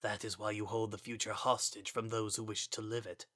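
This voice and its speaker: A stern spectral scientist voiced by a man with a bright, incisive tone. He lectures in grave, formal language, passing solemn judgment in a dramatized fantasy story. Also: fairly low pitch, little pitch movement, a little fast. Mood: stern, judgmental. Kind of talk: dramatic reading of fan fiction.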